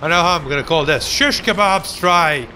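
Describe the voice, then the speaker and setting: A man's voice: on the high side, normal speed, bright, forceful tone, a little hiss or hum. A young man giving casual, sarcastic let's play commentary, joking and ranting about the game. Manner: goofy, sarcastic, hums and mock voices.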